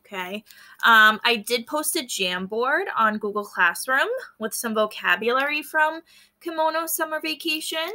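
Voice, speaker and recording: Bright-voiced woman teacher reading aloud. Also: on the high side, lively intonation, normal speed. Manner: instructive, prompting listeners to notice and wonder. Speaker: elementary school teacher. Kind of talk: read-aloud lesson for fourth graders, explaining as she goes.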